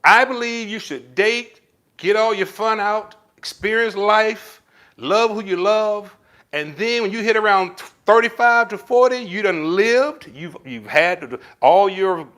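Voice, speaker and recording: A man's voice: sits high, measured pace. A man hosting a casual live stream, reading viewer comments and giving relationship advice, calling viewers baby. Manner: animated, addresses viewers with pet names like baby. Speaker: livestream host answering viewer comments.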